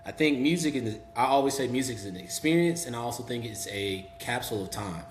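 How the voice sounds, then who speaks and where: A young man from Memphis with a Southern accent, talking relaxed and chatty as a guest on a podcast, with many "um"s and "you know"s. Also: mid-range voice, fast. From Memphis, Tennessee. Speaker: guest rapper. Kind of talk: casual podcast interview. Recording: echoey room with faint hum.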